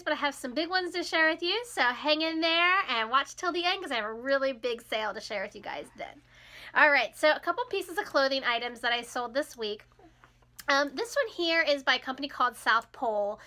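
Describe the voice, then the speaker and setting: A woman's voice: high voice, lively intonation, brisk, sharp tone. A woman in her thirties, a little froggy from a cold, chatting casually and cheerfully at home to a close camera, laughing often. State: recovering from a cold, a bit hoarse. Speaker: resale vlogger. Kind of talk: casual chat. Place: small room at home, indoors.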